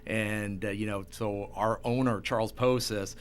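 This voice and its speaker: Lowish-voiced encouraging male host, slight background noise. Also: fairly even intonation, unhurried. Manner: encouraging, affirming. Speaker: talk show host, real estate agent. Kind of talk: conversational interviewing.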